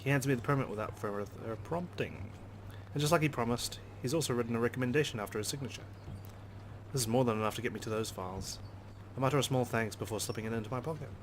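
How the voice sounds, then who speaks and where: A man reading visual novel narration aloud as a let's player, in an expressive storytelling style. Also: medium pitch, fast, a little hiss or hum.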